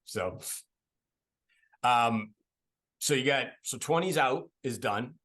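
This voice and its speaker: A man's voice: medium pitch, expressive, fairly bright tone. Chatty Boston man hosting a podcast. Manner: chatty, laughs often, prods with quick questions. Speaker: podcast host. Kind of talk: casual interview chat.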